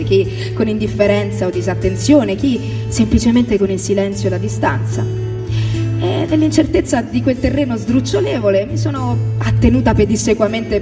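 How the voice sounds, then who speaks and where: A woman of medium pitch reads a personal text in a deliberate, measured style. Her voice sits in long reverb, away from the microphone. Clear instrumental guitar music plays beneath the reading. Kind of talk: literary first-person reading on a radio show. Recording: distant, very reverberant, music underneath.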